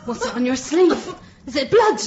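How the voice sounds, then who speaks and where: A woman's voice: high voice, sing-song, normal speed, a crowd in the background. A woman playing a panic-stricken, loyal maidservant in a radio drama. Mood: anxious. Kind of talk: intense radio drama acting. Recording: muffled, echoing, very noisy fire scene.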